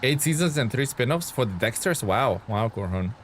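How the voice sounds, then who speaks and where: A man's voice: mid-range voice, animated, moderate pace, slight background noise. A man from Lithuania chatting loosely on a live game stream, reading out and answering viewer comments with plenty of fillers. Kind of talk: casual live-stream chat.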